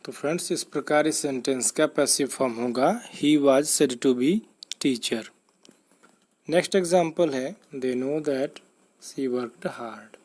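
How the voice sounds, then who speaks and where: A man teaching English grammar in a deliberate, step-by-step, instructive manner, as in a scripted lesson. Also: medium pitch, darkish tone, rough recording.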